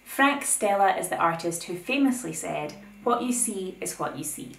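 A young woman hosting an art video, reading a scripted essay with opinionated, lively asides. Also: fairly low pitch, moderate pace, vocal fry, echoey room, a little hiss or hum, rough recording. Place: plain indoor room.

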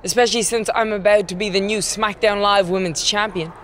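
A woman's voice: medium pitch, steady tone, fairly quick, fairly bright tone, a little hiss or hum, natural voice. An actress playing a brash, cocky woman wrestler in a video game, taunting and swaggering. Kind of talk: scripted video game cutscene acting.

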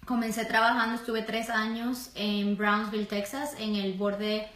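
A young woman from Puerto Rico, an architect, answering interview questions calmly with many pauses and fillers. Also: mid-range voice. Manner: calm, measured, many hesitations. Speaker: architect, guest. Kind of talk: casual interview. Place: small office. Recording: roomy office echo.

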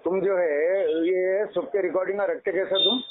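An older man on a heated phone call, talking gruffly and swearing. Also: sits high, level tone, normal speed, background noise. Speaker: call recipient. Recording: tinny phone line.

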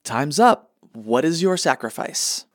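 A man's voice: a little high, animated, unhurried, background noise. A man playing a petty, smug god with a wry, casual manner in a comedic radio play. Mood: smug, official. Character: petty, smug male god, easily annoyed, deadpan.

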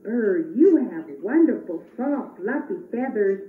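A woman's voice: medium pitch, animated, slow. A woman voicing an earnest, worried, kindly Christmas elf in a children's puppet show. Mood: tactful. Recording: echoey, tinny, distant camera, faint noise.

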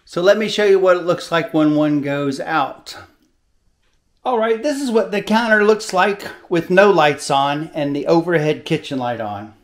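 An older man hosting a home repair video in his kitchen, explaining in a relaxed, folksy, plain-spoken way on a lapel mic. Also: fairly high pitch, a little slow. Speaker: DIY video host. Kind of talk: casual how-to demonstration.

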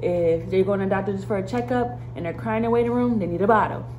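A young woman talks straight to the camera in an easy, chatty vlog, calling her viewers y'all. Her voice sits at an ordinary middle pitch. Steady noise runs behind her throughout. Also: fast. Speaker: vlogger. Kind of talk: casual vlog chat to camera. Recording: noisy background.

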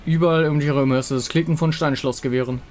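A man's voice: on the high side, fairly even intonation, fast, darkish tone, soft background music. A man reading scripted story narration as the narrator of a fantasy tale.

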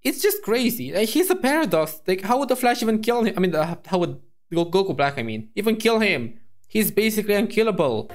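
A man streaming as a VTuber, reacting to a video in casual, rambling chat full of fillers and restarts, his voice hoarse. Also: sits high, big pitch swings, a little fast.

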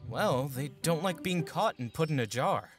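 Man playing an earnest, hesitant boy, expressive. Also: a little high, moderate pace, music in the background, natural voice. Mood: gentle, wistful. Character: earnest, unsure boy dreaming of being a mercenary. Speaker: voice actor. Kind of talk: fantasy audio drama acting.

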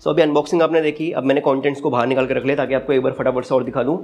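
A man's voice: medium pitch, little pitch movement, racing, darkish tone. A man with an Indian accent giving a casual, step-by-step unboxing review as the channel's host. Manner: explanatory, step-by-step.

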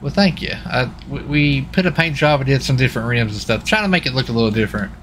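A man with a bright, forceful voice at a middle pitch chats in a folksy, casual way while playing a roleplay game. Faint vehicle noise runs behind him. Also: animated, fast talker. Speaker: live streamer playing a roleplay video game. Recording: noisy engine and street sounds behind. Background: vehicle and game ambience.

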